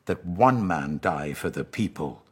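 A British man plays an authoritative high priest in a scripture story. He is scornful and emphatic, his low voice heavily husky. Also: lively intonation, a little slow, heavily acted.

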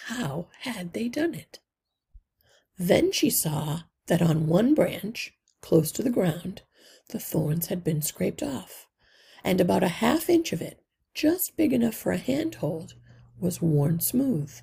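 A woman reads a children's story aloud expressively, with deliberate phrasing that drops into hushed line endings and a husky, breathy voice. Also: lowish voice, very animated. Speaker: read-aloud podcast narrator.

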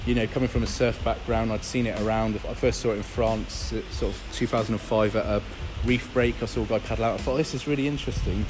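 A man in his thirties, a guest in an interview, talking casually and rambling with many fillers, his voice hoarse from a cold. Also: fairly low pitch, fairly even intonation, fast, hardly any pauses, low-quality recording. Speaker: founder of a paddleboard company, guest. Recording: music playing behind.

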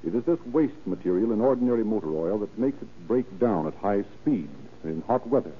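A man's voice: fairly low pitch, lively intonation, fairly quick, a little hiss or hum. Theatrical 1930s male radio announcer, tinny sound. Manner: theatrical, formal, emphatic. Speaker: narrator and announcer.

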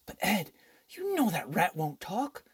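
A rough-voiced young lawyer in a radio play, acted by a man. He is hard-nosed and impatient, pushing every line with urgency. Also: sits high, huge pitch swings, measured pace, full character voice. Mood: urgent. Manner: tough, urgent. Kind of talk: old-time radio drama acting.

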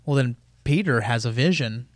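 A man's voice: mid-range voice, animated, no room sound. Casual man who keeps saying dude. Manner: casual, says "dude" and "you know" often, rapid bursts. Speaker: podcast co-host.

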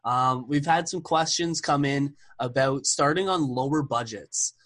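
A man hosting a podcast, introducing his guest and asking questions in a relaxed way. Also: mid-range voice, a little slow. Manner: relaxed, short affirming replies. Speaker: podcast host. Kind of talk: casual interview hosting.

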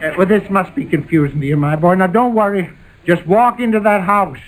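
An actor playing an earnest, bumbling visitor in 1940s radio comedy, speaking in an emphatic, paternal way. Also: male voice, high voice, brisk, close to an everyday voice, rough recording. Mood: solemn, urging. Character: earnest, bumbling male visitor breaking news solemnly. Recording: old radio recording, faint noise.

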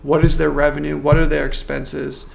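A man working as a marketing consultant, giving a measured, thoughtful interview answer with frequent restarts. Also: fairly high pitch, completely flat delivery, gravelly. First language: not French.

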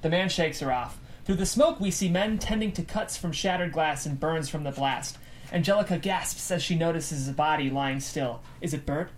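A woman's voice: deep voice, moderate pace, a little hiss or hum. A woman reading stage directions as scripted narration at a table read.

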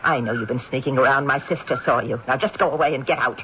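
An actress playing a proud older woman who guards her family home, sharp-tongued and indignant, in a dull old radio broadcast with faint hiss. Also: extremely low pitch, fast talker. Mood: furious. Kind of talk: 1970s radio drama acting.

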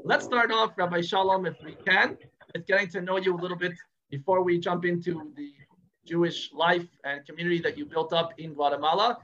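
A man's voice: high-pitched, steady tone, brisk, pushed and bright, highs cut off. A man hosting a podcast, asking questions in an upbeat, encouraging way. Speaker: podcast host. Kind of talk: podcast interview.

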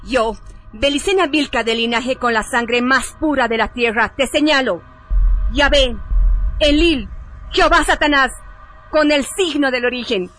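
A woman's voice: sits high, measured pace, pushed and bright, soft background music. A woman playing a proud, intense noblewoman in a radio drama, with solemn declamatory delivery. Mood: commanding, hostile. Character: proud, intense noblewoman of ancient pure bloodline.

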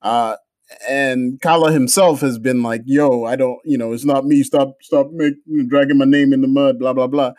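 A man's voice: mid-range voice, steady tone, normal speed, a little mellow, no echo, clean studio sound. A man with a Jamaican accent co-hosting a football podcast, talking in a heated, indignant way with many restarts. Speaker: podcast co-host. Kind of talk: casual football podcast chat.

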